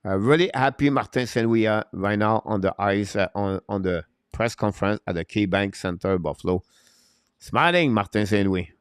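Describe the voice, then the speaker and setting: A man's voice: fairly low pitch, normal speed, clear, resonant tone, no echo, clean studio sound. A man hosting a live hockey stream, giving unscripted, emphatic opinions and answering chat. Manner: opinionated, emphatic, repeats points.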